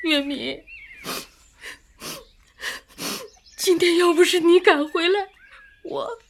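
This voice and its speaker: A woman's voice: extremely high pitch, unhurried. An actress in a radio drama playing an earnest, emotional female laundry owner, polite but spirited. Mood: tearful, worried. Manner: earnest, emotional, indignant when pressed.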